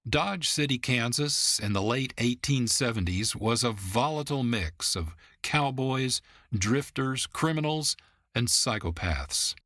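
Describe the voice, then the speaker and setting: A man's voice: medium pitch, animated, a little slow, pushed and bright, no echo, studio quality. A man, narrating a scripted radio program as its host.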